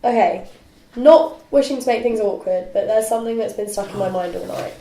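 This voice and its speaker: A woman's voice: mid-range voice, fairly quick, dark tone, slight background noise, natural voice. A young woman reading the part of a determined female university student, casual and direct, at a film script table read. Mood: playful. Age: young adult. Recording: echoey room.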